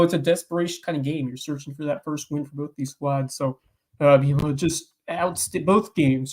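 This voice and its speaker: A man co-hosting a local sports podcast, recapping games with emphatic enthusiasm. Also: a little high. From South Carolina. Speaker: podcast co-host. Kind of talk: sports commentary chat.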